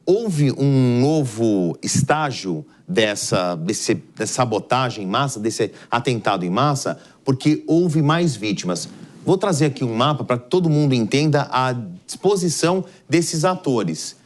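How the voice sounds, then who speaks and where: A man's voice: medium pitch, lively intonation, measured pace. Brazilian man explaining the news. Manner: explanatory, step by step. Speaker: news analyst. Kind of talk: live news analysis. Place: TV news studio.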